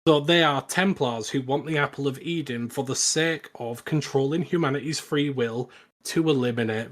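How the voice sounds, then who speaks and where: A man co-hosting a podcast, talking casually and with strong opinions about films, with some swearing. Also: mid-range voice. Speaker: podcast co-host. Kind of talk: casual film discussion and recap.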